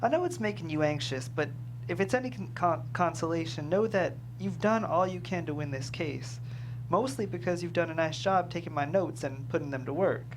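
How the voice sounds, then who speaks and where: A man's voice: on the high side, normal speed, noisy background, close to an everyday voice. A man playing a dry, modest trial consultant, candid and supportive, in a screenplay table read. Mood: candid, encouraging.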